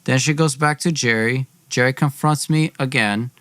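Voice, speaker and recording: A man's voice: medium pitch, moderate pace, fairly bright tone, no room sound, studio quality. Man telling a dramatic personal story. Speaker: podcast host.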